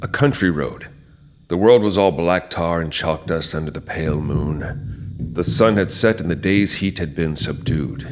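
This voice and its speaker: A man narrating a horror audio drama as host, in a measured, dramatic storytelling manner with pauses. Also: low-pitched, a little hiss or hum.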